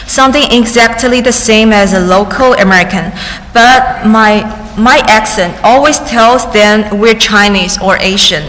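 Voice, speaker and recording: A woman interpreting testimony into English at a public hearing, halting at times, with restarts and cut-off words. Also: mid-range voice, fairly bright tone, a little hiss or hum. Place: large public hearing chamber. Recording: echoey hearing room with faint ambience.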